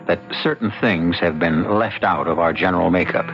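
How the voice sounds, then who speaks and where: Low-pitched theatrical male radio mystery host. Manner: deliberate, dramatic, with pointed pauses. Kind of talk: theatrical scripted narration for old-time radio mystery. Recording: dull lo-fi radio recording with music.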